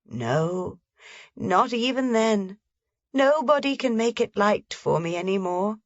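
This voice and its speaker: A woman voicing a frail, blind old grandmother, soft, gentle and mournful, in a storybook reading. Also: mid-range voice, expressive, takes it slowly, dry recording, voice pushed up. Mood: sorrowful. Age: over 60. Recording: slightly dull, dry lo-fi recording.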